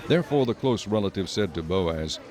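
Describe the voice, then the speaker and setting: Low-voiced male scripture narrator. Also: expressive, a little fast, a little mellow.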